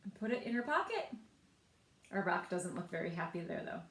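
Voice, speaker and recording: A woman reading picture books aloud in an expressive way, speaking directly to young listeners and asking them questions. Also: on the low side, fairly quick. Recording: echoey room.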